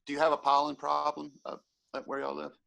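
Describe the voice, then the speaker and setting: A man's voice: a little high, monotone, fast, gravelly, a bit bright. A man from Georgia with a Southern American accent, hosting a casual interview in an easygoing, admiring, chatty way. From Georgia, USA. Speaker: host.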